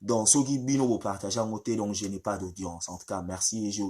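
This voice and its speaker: A man with a head cold, hosting a casual live stream in a halting way with many fillers. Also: on the low side, steady tone, darkish tone, slightly rough.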